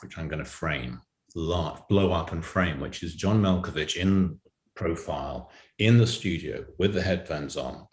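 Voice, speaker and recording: A man telling anecdotes as an interview guest on a video call, emphatic and prone to repeating phrases. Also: low-pitched. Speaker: audio drama writer and guest. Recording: roomy, echoing video-call sound.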